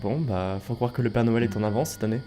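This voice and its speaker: A man's voice: medium pitch, fast, music in the background, lightly acted. A man playing a level-headed, loyal leader in a French audio drama, earnest and firm as a peacemaker. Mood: calm, hesitant. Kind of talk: French audio fiction acting.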